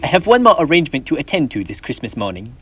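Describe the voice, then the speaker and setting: A man's voice: fairly high pitch, very animated, fast, a bit bright. A teenage boy playing a reformed, now generous old miser in a school stage play, speaking earnestly with formal old-fashioned phrasing. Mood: kind. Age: teens.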